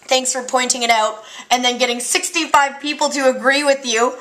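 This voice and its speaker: A young woman chatting casually and loudly in a vlog, excitable and joking. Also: sits high, a little fast. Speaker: vlogger.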